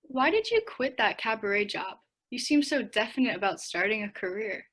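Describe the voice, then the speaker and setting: A woman playing a gentle, worried teenage girl in a radio play, speaking earnestly. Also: on the high side, big pitch swings, normal speed, background noise. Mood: wistful.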